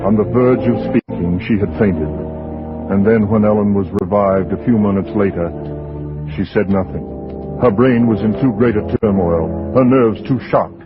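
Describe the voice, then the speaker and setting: An actor playing a grave narrator, delivering solemn, tense 1940s radio suspense narration. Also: male voice, fairly low pitch, normal speed, close to an everyday voice. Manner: dramatic, solemn, building tension. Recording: muffled 1940s radio with loud music.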